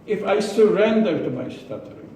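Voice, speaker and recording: A man with an Israeli accent giving a prepared speech to a club, slow and deliberate, with pauses and repeated words. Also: high-pitched, big pitch swings, dark tone, slight background noise. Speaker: club speaker. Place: meeting room. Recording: echoey room from far off.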